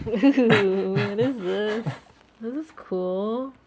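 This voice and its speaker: A woman's voice: medium pitch, drawn-out words, darkish tone, a little hiss or hum. A woman chatting casually and spontaneously as she tries out a product. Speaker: host's wife, guest trying the product. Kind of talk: casual chat, spontaneous reactions.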